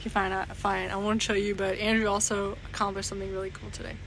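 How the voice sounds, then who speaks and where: A young adult woman chatting casually to camera in a rambling, halting way, often trailing off. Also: mid-range voice, little pitch movement. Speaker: vlogger. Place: various spots on the go in Bali. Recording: noisy ambient phone-style vlog recording.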